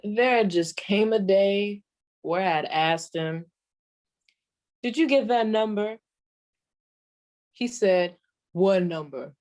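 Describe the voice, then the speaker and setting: A woman playing a sassy old comedienne griping about her ancient husband, in the deadpan, drawn-out style of a 1940s radio stand-up monologue. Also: mid-range voice, close to an everyday voice. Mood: mock exasperation. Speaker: comedienne performer.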